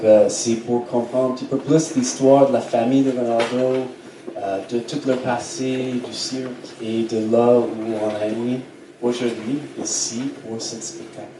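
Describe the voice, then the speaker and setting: An American man who speaks French with a slight Québécois accent, interviewing on stage in a relaxed way full of fillers. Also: medium pitch. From United States. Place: theatre stage before an audience. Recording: echoey hall, distant mic, steady noise.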